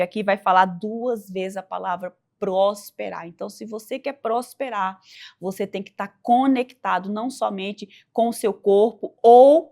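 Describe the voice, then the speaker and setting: A woman's voice: medium pitch, a little mellow. A woman hosting a faith-based interview, enthusiastic and preacherly, often exclaiming "uau" at her guest's answers. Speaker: host.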